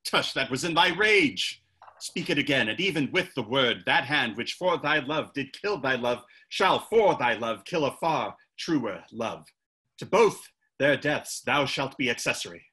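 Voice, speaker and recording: A man's voice: mid-range voice, lively intonation, unhurried, sharp tone. A man playing a passionate, hot-tempered young Elizabethan playwright who declaims archaic verse. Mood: pleading. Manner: declaims archaic verse, swings to furious hissing. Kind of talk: audio drama acting.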